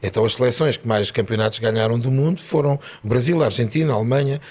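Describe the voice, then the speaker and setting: A man from Setúbal with a European Portuguese accent talks as a podcast guest, making his points in animated, emphatic bursts. He speaks quickly in a slightly husky voice. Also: mid-range voice. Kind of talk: casual chat.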